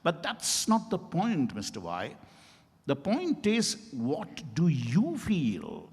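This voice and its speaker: Casting: man playing an insistent, polite doctor of law, theatrical, lively pitch swings, recorded in a big echoing hall. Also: breathy edge, exaggerated voice. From India. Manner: deliberate, questioning, theatrical. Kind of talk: dramatized stage reading. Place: event hall at a hotel. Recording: echoey hall with long reverb.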